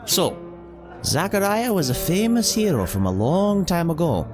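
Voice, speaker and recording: A man's voice: sits high, very animated, moderate pace, over music. A man playing a jovial, chatty innkeeper in a fantasy audio drama, talking in a theatrical storytelling manner. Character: friendly, animated fantasy innkeeper, storytelling and joking.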